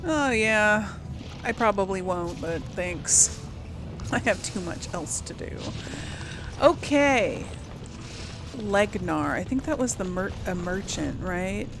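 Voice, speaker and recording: Female let's play host, conversational and musing, mid pitch, with music underneath. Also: lively intonation, takes it slowly. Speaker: gaming channel host. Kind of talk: casual game role-play commentary.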